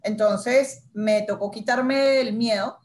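A woman who works as a TV news reporter tells her story in a conversational interview, acting out the dialogue with lively energy. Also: on the high side, slow. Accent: Spanish-speaker's accent in English.

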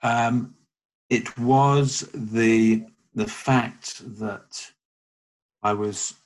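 A middle-aged man from England speaking as a guest on a video call, measured and soft-spoken, often trailing off. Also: mid-range voice, steady tone, rough recording. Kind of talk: casual conversation.

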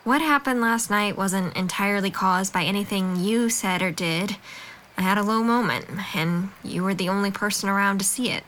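A woman's voice: medium pitch, normal speed, fairly bright tone, natural voice. Woman acting a frank young woman, noisy background. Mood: hurt, frank. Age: young adult. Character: frank, wounded but caring young woman being broken up with. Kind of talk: audio drama acting.

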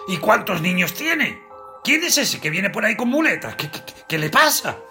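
High-pitched, stammering old miser. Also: male voice, sing-song, moderate pace, gravelly, over music, exaggerated voice. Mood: startled, curious. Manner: stammering, exclaiming. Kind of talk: amateur audio drama acting.

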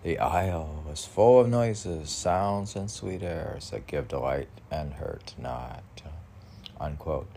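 A man rambling through a podcast of chat and poetry reading, halting and often talking to himself in questions and answers. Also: very deep voice, creaky, mellow, slight background noise. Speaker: poet reading and chatting on own podcast.

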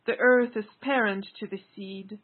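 A woman's voice: medium pitch, fairly even intonation, normal speed. A woman reading poetry aloud in an incantatory style. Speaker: poetry reader. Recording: thin, phone-like audio.

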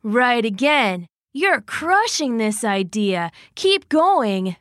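A woman voicing Grumbles, an eager, dim male bear who cheers his friend on excitedly. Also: on the high side, slow pace, no room sound, studio quality, exaggerated voice. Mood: encouraging. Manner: excited, encouraging.

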